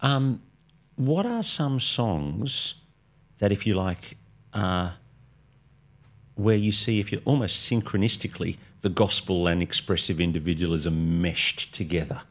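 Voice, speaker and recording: A man's voice: low voice, animated, unhurried. An older man hosting a studio interview, asking probing, conversational questions and murmuring agreement. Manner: probing, conversational, frequent "mm-hmm" backchannels. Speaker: host. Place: studio set with armchairs. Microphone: boom-mounted podcast mic.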